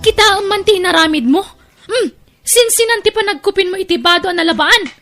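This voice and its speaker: A very high-pitched, piercing matriarch acted by a woman in a radio-style melodrama. She is harsh and self-pitying, nagging in sharp, exclamatory complaints. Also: fairly quick, soft background music, full character voice. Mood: stern scolding. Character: ill-tempered, scolding wife and grandmother, self-pitying and harsh. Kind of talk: melodramatic Ilocano audio drama acting.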